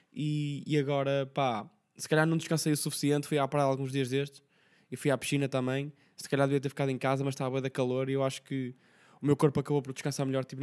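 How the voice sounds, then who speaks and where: A hoarse young man with a Portuguese accent, hosting his podcast as a rambling, slang-heavy casual chat. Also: on the high side, a little mellow. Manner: slangy, rambling, quick talk with many fillers. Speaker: podcast host.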